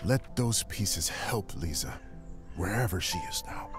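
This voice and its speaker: Deep-voiced grieving uncle. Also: male voice, expressive, over music. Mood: mournful, tender. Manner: measured, solemn, tender oratory. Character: grieving proud uncle giving a niece's eulogy. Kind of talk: dramatic game voice acting, funeral speech.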